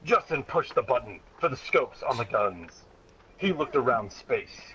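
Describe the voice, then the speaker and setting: A man's voice: mid-range voice, big pitch swings, unhurried, pushed and bright. A man reading a story aloud in a mock-serious, dramatic way, often close to breaking into laughter. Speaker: reader of a childhood story. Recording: dull lo-fi sound, clear background noise.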